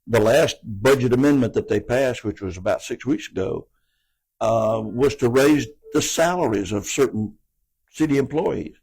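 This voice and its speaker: A man's voice: mid-range voice, moderate pace, darkish tone, slightly rough, poor audio. A man, answering interview questions in an earnest, folksy way and often repeating words as he goes. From American South. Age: 80s. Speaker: city council candidate.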